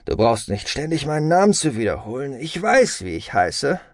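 A man's voice: medium pitch, big pitch swings, normal speed, no echo, studio quality, voice pushed up. A man voicing a brash, impatient man who is sardonic, stern and in control. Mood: breezy, firm. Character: brash, commanding young man, impatient and smugly reassuring.

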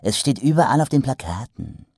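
A man voicing a pushy, fast-talking male street hawker with a wheedling sales patter that sinks into hushed whispers. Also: mid-range voice, very animated, slightly rough, no echo, clean studio sound, voice pushed up. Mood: conspiratorial sales pitch.

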